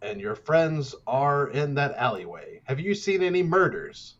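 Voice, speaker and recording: A man's voice: fairly high pitch, sing-song, unhurried, muffled recording. A man playing a self-important, eccentric investigator who announces himself grandly. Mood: pompous, eager.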